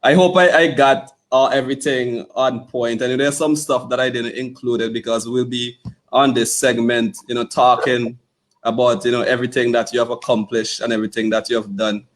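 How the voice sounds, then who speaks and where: Relaxed, chatty male podcast host. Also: mid-range voice, normal speed. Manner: relaxed and playful, with frequent "you know" and "okay". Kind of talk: casual interview chat.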